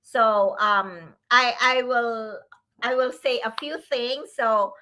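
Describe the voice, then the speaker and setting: A woman from the Philippines, a grandmother, co-hosting a casual online talk show in a slow, earnest way with many fillers. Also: on the high side, steady tone, pushed and bright, rough recording. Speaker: co-host.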